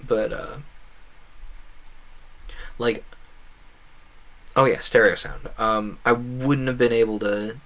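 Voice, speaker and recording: A man's voice: medium pitch, steady tone, takes it slowly, long pauses, fairly bright tone. A man giving casual gameplay commentary as a streamer, thinking aloud in a wordy, meandering way. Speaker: game streamer.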